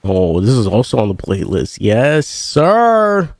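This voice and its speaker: A man's voice: mid-range voice, huge pitch swings, slow, darkish tone, no room sound, clean studio sound. A young man streaming a card game, chatting casually in a rambling way full of fillers, and stuffed up with congestion. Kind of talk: casual chat while playing a card game.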